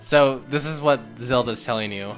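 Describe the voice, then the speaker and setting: A man's voice: mid-range voice, moderate pace, a bit bright, soft background music. A man co-commentating on a video game in casual, wry banter, questioning and nitpicking as he goes. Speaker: Let's Play co-commentator.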